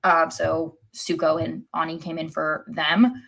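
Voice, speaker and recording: Female podcast co-host, lower-pitched, measured and a little hesitant, informal match analysis. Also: flat delivery, gravelly, fairly bright tone. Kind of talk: casual soccer match analysis.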